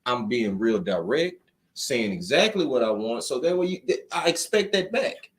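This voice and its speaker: A man hosting a casual talk show, loud and emphatic, laughing often as he tells stories. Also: mid-range voice, very animated, moderate pace. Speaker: host. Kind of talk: casual podcast chat.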